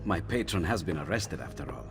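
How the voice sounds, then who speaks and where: An actor playing a gentle, wistful artist and loyal friend in a video game, soft-spoken. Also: male voice, low voice, animated, fairly quick, gravelly, music in the background. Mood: wistful, concerned. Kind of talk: video game cutscene acting.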